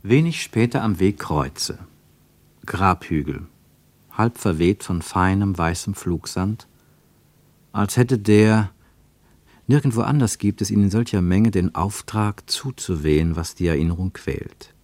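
A man narrating a radio travel play in a measured, lyrical way, with dramatic swings in pitch and loudness. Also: no room sound.

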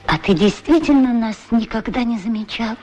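An actor playing a pair of talking shoes in a radio play, frank, familiar and full of questions. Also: male voice, extremely high pitch, expressive, moderate pace, a little mellow. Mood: reproachful. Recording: 1960s radio drama recording.

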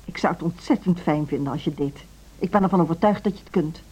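A woman's voice: low voice, fast, a little mellow, slightly rough. A middle-aged woman acting a dedicated, earnest music school director in a Dutch social radio drama. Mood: earnest, persuasive. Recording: dull, band-limited radio drama recording.

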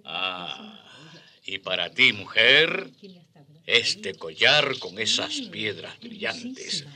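A man's voice: fairly low pitch, wildly swooping pitch, extremely slow, sharp tone, exaggerated voice. An actor playing a boastful, middle-aged Spanish father back from the colonies in a radio drama. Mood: proud, generous.

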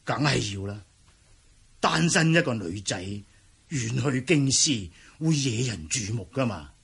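Casting: caring old Cantonese great-uncle, creaky and rough-voiced, authoritative, deliberate, for radio drama. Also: male voice, medium pitch, animated, fairly bright tone, full character voice. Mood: firm, instructing. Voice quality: husky, gravelly. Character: elderly martial clan great-uncle, caring, measured, authoritative.

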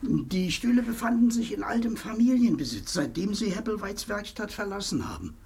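An actress playing a courteous, knowing antique shopkeeper in a radio drama, husky and gravelly, with a polite, insinuating sales manner. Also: female voice, mid-range voice, expressive, a little fast, darkish tone, exaggerated voice. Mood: courteous, assured.

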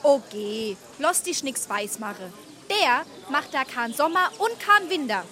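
A woman's voice: high voice, animated, a little slow, bright, forceful tone, full character voice. A woman playing an affectionate but outspoken young daughter with a Hessian accent in a dialect comedy. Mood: fond, scolding. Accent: Hessian, Darmstadt dialect. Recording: crowd noise around the voice.

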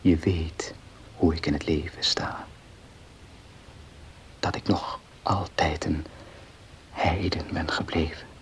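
An actor playing a devoted, grieving husband in a period radio drama, speaking slowly and earnestly in a hushed, breathy voice. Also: male voice, low voice, fairly even intonation, in a whisper. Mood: earnest, pleading. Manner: slow, hushed, earnest, emotional.

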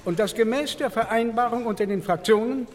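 An actor playing a formal, dryly strict parliament president, measured and procedural in a 1949 drama. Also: medium pitch, natural voice. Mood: dryly official. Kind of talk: dramatised reading of parliamentary protocol. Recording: reverberant assembly hall.

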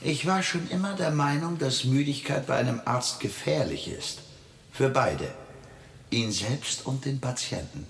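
A radio horror madman, courteous yet obsessive. He pleads in careful formal phrases with wide swings of pitch and a creaky edge. The space around him is echoey. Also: animated, a bit bright. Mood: courteous, pleading. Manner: formal, insistent, deliberate pleading. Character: obsessive male asylum patient, courteous, pleading, devoted to a master. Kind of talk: German radio horror drama. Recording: roomy echo, faint music behind.

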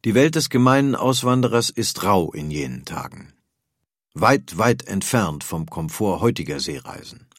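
A man narrating a scripted documentary in a measured, factual manner. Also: lowish voice, expressive, dry recording, studio quality. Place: dry studio.

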